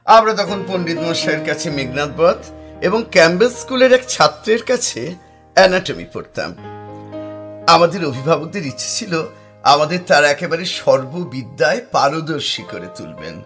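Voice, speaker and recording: A man playing a brooding young poet who narrates a ghost story in an audio drama, measured and pausing for suspense. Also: on the high side, sing-song, fairly bright tone. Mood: reflective. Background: music.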